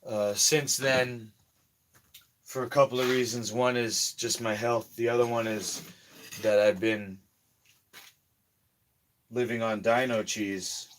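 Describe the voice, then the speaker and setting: A hoarse man talking casually in a slow, rambling health vlog, often trailing off quietly. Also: medium pitch, steady tone. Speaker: health vlogger.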